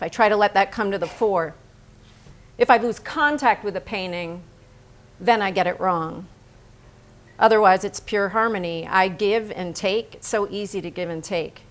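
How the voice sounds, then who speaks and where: A woman acting in a staged reading as a blunt, self-assured American male painter, speaking in deliberate, declarative phrases. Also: medium pitch, close to an everyday voice. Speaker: stage actor.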